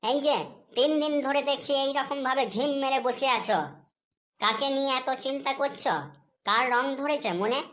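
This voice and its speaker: A woman voicing a nagging, suspicious housewife in a comedy cartoon, scolding and sarcastic, quick to take offence. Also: high voice, fairly bright tone. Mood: scolding, aggrieved.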